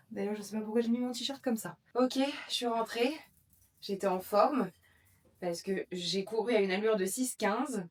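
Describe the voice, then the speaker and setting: A young woman talking straight to her viewers in a relaxed vlog. She rambles along with plenty of hesitations and fillers, at an ordinary mid pitch. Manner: chatty, rambling with many fillers. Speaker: vlogger. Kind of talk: casual vlog chat to camera.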